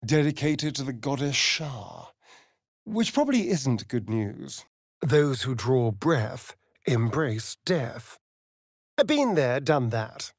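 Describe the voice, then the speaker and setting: A man's voice: mid-range voice, very animated, takes it slowly, no room sound, studio quality, full character voice. An actor voicing a sly, sardonic male vampire rogue in a video game, with a theatrical, drawling manner. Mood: dry, sardonic. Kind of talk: video game character voice lines.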